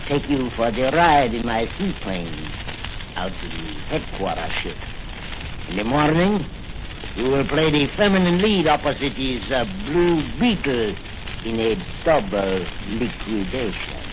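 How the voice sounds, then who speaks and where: A muffled, hissy vintage radio recording carries a menacing gang chief. He speaks in a medium-pitched voice with stilted, formal phrasing, cold and commanding as a radio serial villain. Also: sing-song, a little slow. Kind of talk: 1940s radio serial acting.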